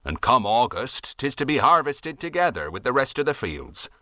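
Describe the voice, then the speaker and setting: A man's voice: medium pitch, big pitch swings, moderate pace, sharp tone, dry recording, pitched above the performer's own voice. A man doing a rambling old West Country farmer. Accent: West Country English. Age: older. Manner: rambling folksy dialect storytelling.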